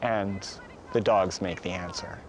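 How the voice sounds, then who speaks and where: Low-voiced man explaining calmly. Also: a little slow, very noisy. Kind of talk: casual interview.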